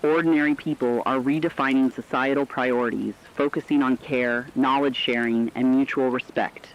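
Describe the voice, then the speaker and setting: A man playing an earnest radio correspondent, reading scripted news in a formal, measured way. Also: medium pitch, steady tone, lightly acted. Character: earnest correspondent on an end-of-the-world radio broadcast. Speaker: co-anchor in a fictional radio broadcast. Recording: dull lo-fi radio sound.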